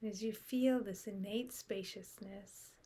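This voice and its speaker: A woman guiding a meditation in a soft, unhurried way with long pauses, her breathy voice often trailing off into a whisper. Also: mid-range voice, fairly even intonation. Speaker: meditation guide. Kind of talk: guided meditation.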